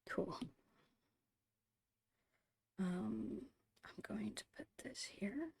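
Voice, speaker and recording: A woman whispering in a breathy, creaky voice, murmuring her way step by step through an ASMR art narration. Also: mid-range voice, animated, a little mellow, dry recording, low-quality recording. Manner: unhurried, murmured step-by-step musing. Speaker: host of an art ASMR channel.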